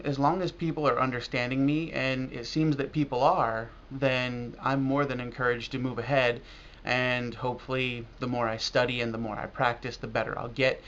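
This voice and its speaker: An American man talking casually and reflectively in a video blog as a language learner, halting now and then with pauses. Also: mid-range voice, fairly bright tone. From United States. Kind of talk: casual talk to camera about learning. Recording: dull lo-fi audio with clear noise.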